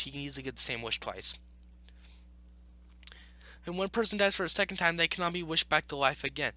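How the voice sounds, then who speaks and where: A man hosting a video, reading aloud and arguing back with blunt, opinionated off-the-cuff commentary in a halting, self-correcting way. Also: a little high, sharp tone, no room sound. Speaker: video host reacting to an article.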